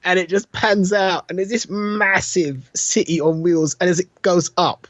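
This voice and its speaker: Higher-voiced man, dry muffled sound. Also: fairly even intonation, normal speed, fairly bright tone, dry recording. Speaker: podcast co-host. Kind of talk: casual chat about films.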